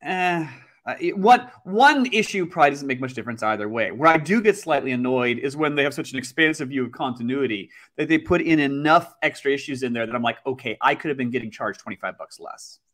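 Lively wry man on video call. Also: medium pitch, fairly quick. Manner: articulate, wry, wordy. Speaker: guest panelist. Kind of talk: casual panel chat.

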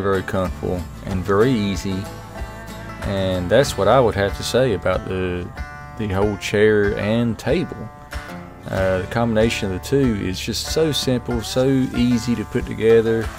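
A middle-aged man giving a relaxed, rambling product review, full of fillers and restarts. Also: on the low side. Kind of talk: casual voice-over review. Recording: music playing underneath.

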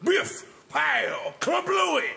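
A man's voice: extremely high pitch, sing-song, drawn-out words, vocal fry, sharp tone, shouting, exaggerated voice. A man voicing a pompous, paranoid, hysterical military commander in an anime parody. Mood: blustering. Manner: bombastic, easily panicked, blustering.

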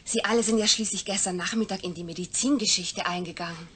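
Eine Schauspielerin spielt in einem deutschen Hörspiel eine höfliche, gefasste Krankenschwester mit förmlicher Art. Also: female voice, medium pitch, fast, fairly bright tone, slight background noise, lightly acted. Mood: composed. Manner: formal, composed. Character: polite, composed female hospital nurse. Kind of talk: German radio play acting.

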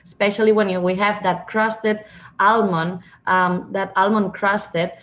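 A woman in her thirties speaks English with a Spanish accent at a middle pitch. She talks over a video call from a room at home, explaining things step by step and sometimes restarting a phrase. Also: little pitch movement, unhurried, a bit bright. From Catalonia, Spain. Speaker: winery representative. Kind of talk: wine presentation to diners.

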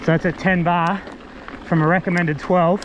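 A man's voice: high-pitched, little pitch movement, moderate pace. A man giving casual, laid-back, fragmented gear commentary while riding a mountain bike. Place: outdoors on a bushland trail, riding a bike. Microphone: action camera worn while riding. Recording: muffled action-camera audio, loud wind and trail noise.

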